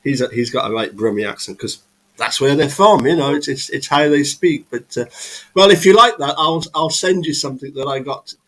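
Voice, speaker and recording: A man from England with a voice of ordinary male pitch, hosting a relaxed chat over a video call. He talks hesitantly, filling pauses and restarting his sentences. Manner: hesitant, many restarts and fillers. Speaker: host. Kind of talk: casual live chat.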